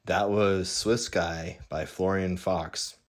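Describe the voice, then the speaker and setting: A male host of a music show introduces the next tracks in a very low voice. He speaks slowly and evenly, taking his time over each title. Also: fairly even intonation, background noise. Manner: slow, unhurried song introductions. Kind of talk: announcing songs on a music show.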